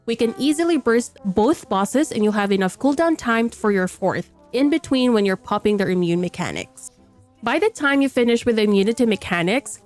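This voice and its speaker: A woman with a stuffy voice from a cold, explaining a game build in a matter-of-fact voice-over. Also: a little high. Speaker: game guide creator.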